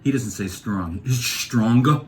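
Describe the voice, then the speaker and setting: A man's voice: medium pitch, unhurried, slight background noise. A middle-aged man from New York with a New York accent, talking in a casual comic monologue and jumping between topics. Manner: animated, digressive, slips into voices. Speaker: vlogger.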